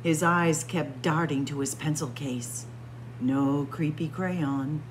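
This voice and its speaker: A woman reading a picture book aloud in a dramatic, suspenseful story-time style, sometimes hushed for emphasis. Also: low-pitched, slow pace, a little hiss or hum, rough recording. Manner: suspenseful, expressive, drops to hushed whispers for emphasis. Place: indoor library room with bookshelves and windows.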